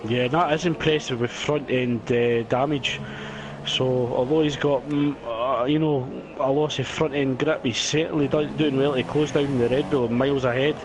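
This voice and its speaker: A man calling an online racing league as lead commentator, his voice going hoarse from the action. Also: medium pitch, background noise. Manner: fast play-by-play, rising excitement in battles. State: losing his voice.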